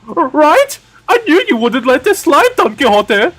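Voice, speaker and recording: Game role: excitable, earnest young man, attempted German accent, strained and very high. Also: measured pace, soft background music, exaggerated voice. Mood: indignant, eager.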